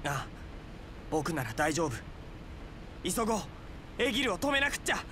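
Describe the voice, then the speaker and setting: A man's voice: high voice, very animated, moderate pace, sharp tone, slight background noise, heavily acted. A man playing an earnest, determined young hero in an anime-style video game, with intense delivery.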